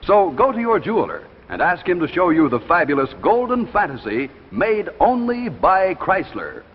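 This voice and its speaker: An American man announcing and pitching products in a polished, emphatic 1950s television advertising style. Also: big pitch swings, normal speed, husky. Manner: polished, emphatic salesman delivery. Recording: muffled 1950s broadcast with hall reverb.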